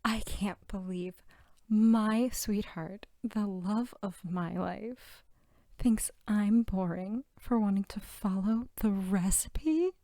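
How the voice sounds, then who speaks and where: Soft, slow-talking bookish girlfriend, played by a woman. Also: medium pitch, dry recording. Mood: playful, mock-offended. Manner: soft-spoken, often near whisper, giggly, teasing. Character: sweet, bookish, playful archivist girlfriend at home. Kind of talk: intimate narrative ASMR roleplay.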